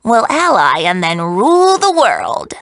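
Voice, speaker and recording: An actress playing a snide, scheming young villainess in a cartoon video game, full of contemptuous sarcasm. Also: female voice, a little high, huge pitch swings, unhurried, fairly bright tone, no room sound, clean studio sound, exaggerated voice. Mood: snide, disdainful.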